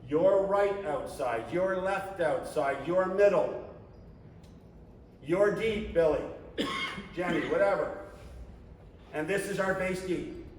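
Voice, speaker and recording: A man leading a coaching clinic, talking in an animated, conversational way and now and then shouting coaching calls. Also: high-pitched, takes it slowly, long pauses, a little hiss or hum. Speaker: flag football coach. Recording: echoey hall, distant mic.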